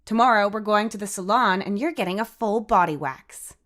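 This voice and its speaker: A woman's voice: mid-range voice, brisk, a bit bright, pitched above the performer's own voice, natural voice. A woman voicing a feisty, sarcastic teenage girl in an audiobook story, snappy and easily irritated. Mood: mocking, irritated. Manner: snappy, mocking.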